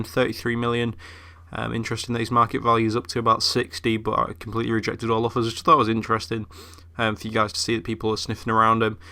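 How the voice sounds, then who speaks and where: A man's voice: medium pitch, sharp tone. A young man who is ill, chatting casually through game commentary with lots of fillers. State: ill, voice a bit off. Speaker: gaming YouTuber.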